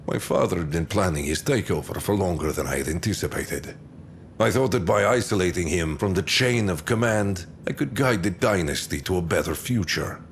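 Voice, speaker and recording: A man's voice: low-pitched, slight background noise. An actor playing an imprisoned male heir who talks in a brooding, wry, resigned way.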